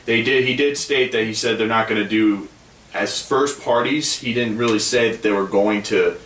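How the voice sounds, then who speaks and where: A man's voice: lowish voice, normal speed, a bit bright, far from the mic, a little hiss or hum. Laid-back man on a roomy podcast mic. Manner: easygoing, argues his point with many fillers. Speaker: podcast co-host. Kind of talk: casual gaming podcast chat.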